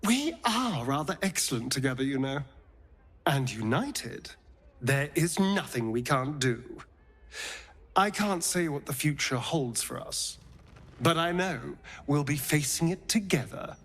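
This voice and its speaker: An actor playing a suave vampire rogue in a video game, with an arch, drawling, theatrical manner that is teasing and tender. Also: male voice, fairly high pitch, expressive, unhurried, a bit bright. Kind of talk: dramatic video game dialogue.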